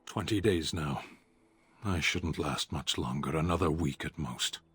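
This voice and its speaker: Very deep, husky, stoic warrior. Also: male voice, fairly bright tone, dry recording, exaggerated voice. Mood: grimly resigned. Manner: terse, solemn, measured. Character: stoic imprisoned warrior of another race, grimly resigned. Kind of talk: video game voice acting.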